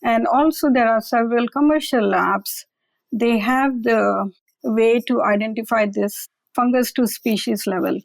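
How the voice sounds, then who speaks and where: Woman lab scientist, gravelly voice, explains things plainly. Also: a little high, a little slow, no echo, poor audio. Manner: explanatory, plain-spoken, with occasional fillers. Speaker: public health lab scientist. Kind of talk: expert explaining in interview.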